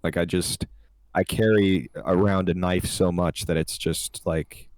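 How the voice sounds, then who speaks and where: A man's voice: low-pitched, fairly even intonation, a little slow, a little mellow, no room sound. A young man co-hosting a podcast, chatting casually in a rambling, crude way with lots of restarts. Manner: rambling, crude, loose storytelling with many restarts. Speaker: podcast co-host. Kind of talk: casual chat.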